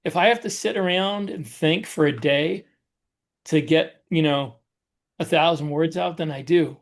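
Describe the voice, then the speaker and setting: A man's voice: a little high, measured pace. A man co-hosting a casual podcast, explaining how he works and often restating his phrases as he goes. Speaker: podcast co-host, novelist.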